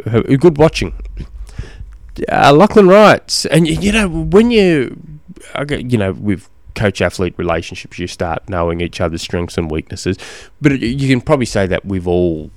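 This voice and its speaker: A man hosting a casual solo podcast, slightly hoarse from a cold, rambling with many ums and restarts. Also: lowish voice, no echo. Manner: rambling, self-deprecating, lots of ums and restarts. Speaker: podcast host and cycling coach.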